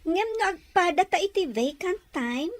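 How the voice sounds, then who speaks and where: A woman's voice: extremely high pitch, expressive, normal speed, no room sound. A woman with an Ilocano Filipino accent voicing a girlish young student and close companion in a radio drama. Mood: friendly.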